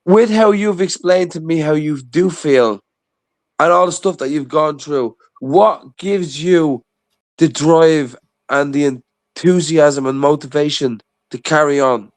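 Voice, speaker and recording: A man talks casually on a livestream in a slightly higher than average voice. The sound is dry and close, and his manner is blunt and sweary. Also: unhurried, no room sound.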